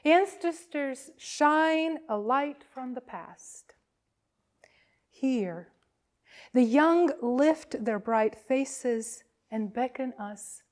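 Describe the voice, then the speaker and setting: A woman minister reading and telling a children's story at a worship service, phrasing deliberately with pauses. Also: a little high, darkish tone.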